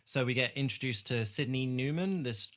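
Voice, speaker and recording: A man's voice: medium pitch, moderate pace, fairly bright tone. A man hosting a podcast, chatting casually as a recap is talked through. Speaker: podcast host.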